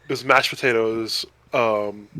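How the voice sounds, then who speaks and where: A man's voice: fairly low pitch, fairly even intonation, measured pace, pushed and bright, no room sound, low-quality recording. A man chatting casually and enthusiastically as a podcast co-host. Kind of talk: casual chat about food.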